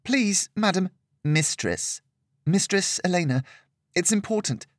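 A man's voice: high voice, expressive, measured pace, dry recording, clean studio sound, pitched above the performer's own voice. A man voicing an earnest, eager young female adventurer in a fantasy audiobook, with a raised, pleading voice.

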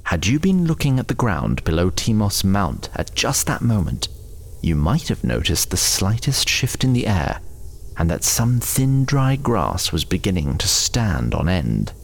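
Low, expressive male storyteller. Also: a little slow, gravelly. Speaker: narrator. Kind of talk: scripted fantasy story narration.

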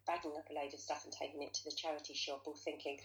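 Thoughtful woman with a lowish voice. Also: little pitch movement, quick pace, gravelly. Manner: earnest, thoughtful, hesitant phrasing. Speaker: author and guest. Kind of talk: reflective interview answers.

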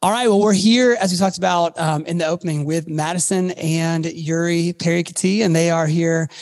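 A podcast host introducing an episode and interviewing guests in a relaxed, chatty way, with frequent ums. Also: medium pitch, moderate pace, big-room sound, soft background music. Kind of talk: casual interview chat.

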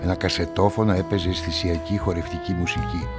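An actor playing a guarded amateur sleuth, telling a crime story in measured, reflective radio-play narration and dialogue. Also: male voice, low-pitched, steady tone, over music, lightly acted.

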